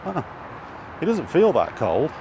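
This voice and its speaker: A man's voice: fairly high pitch, huge pitch swings, a little slow. A middle-aged British man rambling casually as he thinks aloud, often hesitating over his words. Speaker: vlogger. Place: outdoors while walking in an urban area. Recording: noisy outdoor recording with traffic. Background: clear street and vehicle noise.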